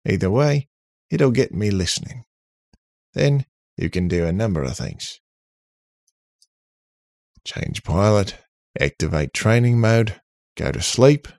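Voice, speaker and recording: A man voicing a cheeky, cocky, talkative robot in an animated, boastful, slangy way for an audiobook. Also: lowish voice, slow, gravelly, pauses often, no echo, studio quality, pitched above the performer's own voice, heavily acted. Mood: chatty. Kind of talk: audiobook character voice.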